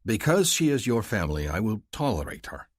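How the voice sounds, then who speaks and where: A man playing a large, protective alien male who speaks in a low, measured, formal way. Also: big pitch swings, dry recording, studio quality. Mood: tender.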